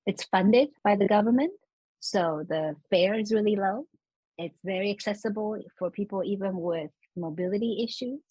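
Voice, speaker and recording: A woman scholar from Los Angeles explaining urban planning in a casual interview, animated and laughing now and then. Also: mid-range voice, normal speed, husky, no room sound, low-quality recording.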